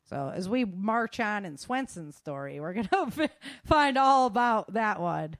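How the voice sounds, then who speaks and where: A female host talks in a conversational, emphatic way, addressing the audience directly. Her pitch sits in a middle range for a woman, and the sound is dry with very little room around it. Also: very animated, a little slow, no echo. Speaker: host of a self-defense and survival show. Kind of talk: casual spoken advice to listeners.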